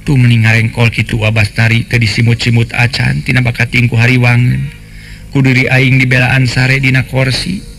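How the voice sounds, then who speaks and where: A man's voice: mid-range voice, flat delivery, fairly quick, creaky, a bit bright. Guy doing a worried young woman in a radio tale, grumbly earthy musing, bit of music behind. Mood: resentful. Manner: musing, complaining, earthy colloquial speech. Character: anxious young woman, trusting niece, resentful of lecherous uncle. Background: faint music.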